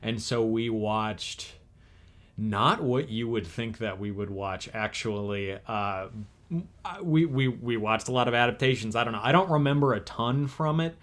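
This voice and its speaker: A young man in his twenties chatting casually as a co-host. His voice sits at an ordinary middle pitch, and he rambles along with halting restarts and fillers. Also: a bit bright. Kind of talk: casual chat.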